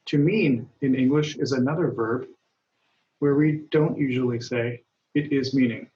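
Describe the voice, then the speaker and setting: A man teaching an English grammar lesson, speaking slowly and deliberately, and raising his voice when he reads example dialogues aloud. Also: medium pitch, warm tone. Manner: patient, deliberate, lifts his voice when reading quoted lines. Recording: dull, roomy sound from a distance.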